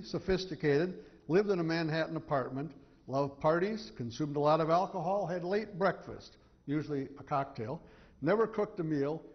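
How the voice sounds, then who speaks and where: American man lecturing in a reverberant hall. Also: fairly high pitch. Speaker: author giving convention talk. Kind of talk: informative lecture to an audience. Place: convention hall. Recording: slightly muffled hall recording, long reverb.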